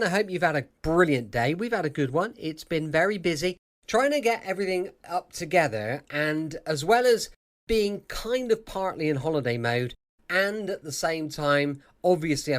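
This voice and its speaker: A man giving a casual welcome as a podcast host. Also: fairly high pitch.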